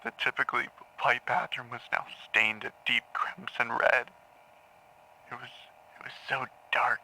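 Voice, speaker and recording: A troubled patient in an audio drama, haunted by what he has done. His voice is very sharp and tense, with a fried, creaky edge. He speaks slowly and haltingly, stumbling over words and sinking toward a whisper. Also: medium pitch, little pitch movement, slight background noise, full character voice, rough recording. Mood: haunted, numb. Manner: halting, stammering, drops into near-whispers. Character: troubled male patient confessing to killing his parents, haunted, confused. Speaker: therapy patient.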